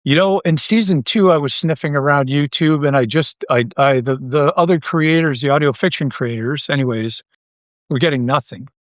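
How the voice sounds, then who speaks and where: A man's voice: fairly high pitch, moderate pace, dry recording. A man speaking casually as a podcast guest and creator, self-deprecating and often repeating his words. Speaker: interview guest, audio drama creator.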